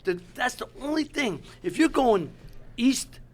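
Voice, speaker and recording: Animated high-voiced man, casual banter. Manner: animated, quick to laugh, trails off and restarts. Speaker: show host. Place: restaurant bar.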